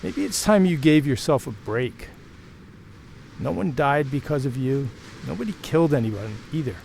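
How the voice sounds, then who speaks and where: A man's voice: medium pitch, expressive, moderate pace, creaky, a little mellow, slight background noise. A man playing a frank, protective married mill worker and former lover in an audio drama, talking in a plain, reassuring, conversational way.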